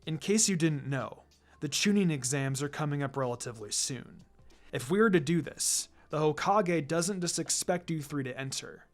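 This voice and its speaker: A reader voicing a stern, cold male ninja commander who trains students, speaking in a commanding, deliberate, menacing way in a fan fiction reading. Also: mid-range voice, expressive, natural voice. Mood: stern, demanding. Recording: music under the voice.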